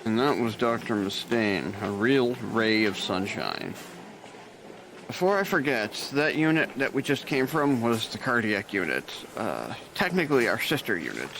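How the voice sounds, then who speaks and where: A man's voice: mid-range voice, a bit bright, slight background noise, rough recording. An actor playing a dry, sarcastic, burned-out male nurse showing a new hire around, in an audio drama.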